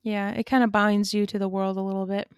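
A woman talks thoughtfully in a calm, level tone with little rise and fall. Her delivery is steady and unhurried. The sound is dry and close. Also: medium pitch, clean tone, no room sound. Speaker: podcast co-host. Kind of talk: casual faith discussion.

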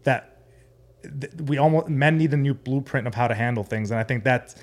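A man's voice: mid-range voice, moderate pace. An American man talks conversationally as a guest on a podcast. He has an ordinary mid-range voice and explains his views in an earnest way. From United States and Jordan.

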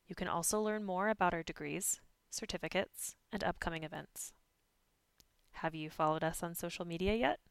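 A woman's voice: fairly low pitch, normal speed, creaky, smooth, dry recording. A woman announcer reading a scripted promotional outro for a podcast.

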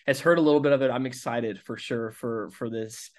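A man's voice: mid-range voice, a little fast, no room sound, clean studio sound. Enthusiastic young male podcast host. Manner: enthusiastic, repetitive hype phrases. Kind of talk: upbeat podcast intro and interview.

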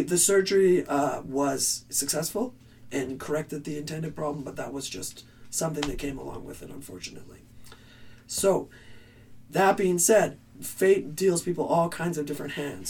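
Raspy male band vocalist, earnest rambling talker, slightly high voice, off-mic. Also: darkish tone, recorded from a distance. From Canada. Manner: rambling, earnest advice with restarts. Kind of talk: casual interview answers.

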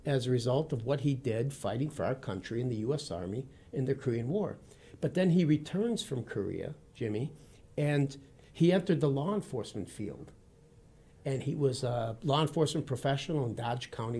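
A man in his fifties from Wisconsin with a Midwestern accent, speaking as a guest in a radio studio interview in a steady, earnest, formal way. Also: mid-range voice, breathy edge. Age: 50s. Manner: steady, earnest and formal, addresses the host by name often.

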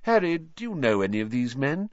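A man reading, voicing a calm, gentle male professor who speaks mildly and evenly. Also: mid-range voice, no room sound, lo-fi sound, pitched above the performer's own voice, lightly acted. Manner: mild, measured, soft-spoken.

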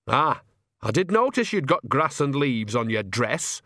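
A man's voice: medium pitch, big pitch swings, measured pace, pushed and bright, no echo, clean studio sound, heavily acted. A man voicing a bossy, cheerful old woman, a witch with a piercing voice and blunt, rustic, teasing talk in a storybook. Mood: wry, teasing.

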